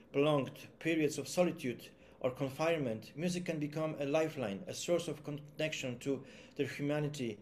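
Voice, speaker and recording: Polish man, hesitant, fairly high voice. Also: darkish tone, slight background noise. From Poland. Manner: hesitant, many fillers and restarts. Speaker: concert musician giving a talk. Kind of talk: presentation, partly read aloud.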